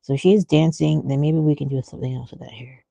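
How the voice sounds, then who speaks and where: A woman with a very soft-edged, dark voice and a creaky fry talks through her art on a casual livestream. She muses aloud and lets thoughts trail off. The sound is close and dry. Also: sits low, fairly even intonation, quick pace, dry recording, highs cut off. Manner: musing, trailing off mid-thought. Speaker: artist streamer thinking aloud while drawing.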